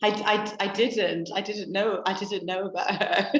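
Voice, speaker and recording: A woman, a guest author explaining history in a measured, lecturing way with frequent ums. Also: mid-range voice, fairly even intonation. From Ireland. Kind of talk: explanatory interview talk. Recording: roomy echo on the voice.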